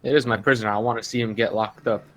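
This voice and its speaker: Captor escorting a prisoner, male player: mid-pitched, terse, offhand, possessive, tabletop roleplay. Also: steady tone, rapid-fire, a bit bright, lightly acted. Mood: offhand, firm.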